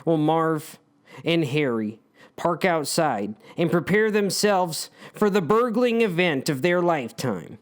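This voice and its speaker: A man's voice: a little high, animated, slow pace. A man reading his own story as dramatic narration, speaking to the characters in a wry, theatrical, conspiratorial way.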